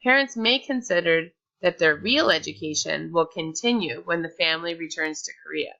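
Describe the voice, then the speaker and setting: Bright-voiced woman reading a presentation. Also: low voice, moderate pace, vocal fry, muffled recording. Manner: reads from a script with small slips and restarts. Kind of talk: read-aloud slide presentation.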